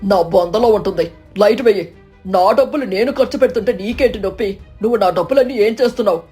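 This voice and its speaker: A woman's voice: medium pitch, fast talker, warm tone, faint music behind, natural voice. A woman playing an impulsive young husband bird in a cartoon story, with a blunt manner and a hot temper. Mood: angry, suspicious.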